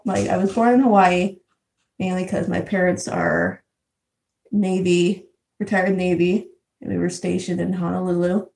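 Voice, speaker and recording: A young woman in her twenties, originally from San Diego, talks through a relaxed vlog, reading viewer comments and chatting. Her voice sits fairly low with a warm, mellow tone and a strong gravelly fry. She laughs often and leans on plenty of "um"s and "like"s. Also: low-quality recording. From San Diego, California. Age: 20s. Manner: chatty, frequent laughs and fillers. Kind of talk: casual live chat reading comments. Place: house in Arizona.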